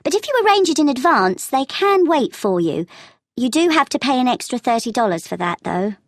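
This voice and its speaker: A woman playing a helpful, matter-of-fact travel agent in a scripted language-test dialogue, explaining things clearly. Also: high-pitched, sing-song, a little fast, dry recording, studio quality. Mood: helpful, thoughtful.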